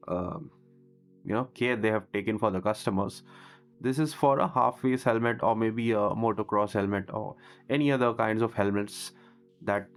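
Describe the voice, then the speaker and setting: A man talking through a product unboxing as a vlogger, in a casual reviewing style. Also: medium pitch. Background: music.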